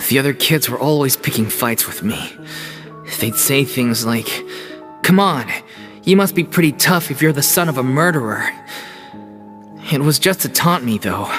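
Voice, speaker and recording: Young troupe actor guy, man voicing him, mid pitch, kinda easygoing, faint music behind. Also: lightly acted. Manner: easygoing, then quietly somber. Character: young male troupe actor, orphan, loyal. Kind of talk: game cutscene dialogue. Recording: game mix with faint music.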